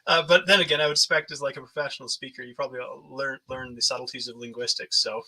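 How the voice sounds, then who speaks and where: Fast-talking bright husky male host. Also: mid-range voice, fairly even intonation, low-quality recording. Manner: chatty, rambling, laughs often. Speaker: podcast host. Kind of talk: casual interview chat.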